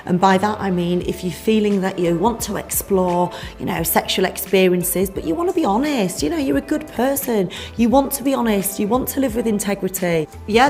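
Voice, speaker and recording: A woman's voice: mid-range voice, a little mellow. A woman speaking as a relationship coach, explaining informally and addressing the listener directly in an emphatic, conversational way. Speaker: relationship coach promoting a seminar. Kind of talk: informal explanatory promotional talk.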